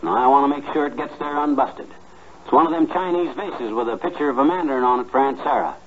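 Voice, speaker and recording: A man's voice: mid-range voice, quick pace, lightly acted. Male actor as blustering, slangy homespun husband for vintage radio comedy; thin, band-limited sound with some hiss. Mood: boastful, busy. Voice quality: folksy, slangy diction. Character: folksy, blustering, boastful middle-aged husband. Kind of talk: 1950s radio comedy acting. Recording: tinny, noisy old radio recording.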